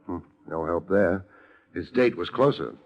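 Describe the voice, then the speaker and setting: Low-voiced hard-boiled American private eye. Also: male voice, animated, measured pace, lo-fi sound, exaggerated voice. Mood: dry banter. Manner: dry, laconic, sardonic. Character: wry, hard-boiled male private detective. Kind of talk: 1950s radio noir acting and narration. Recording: vintage radio recording, music underneath.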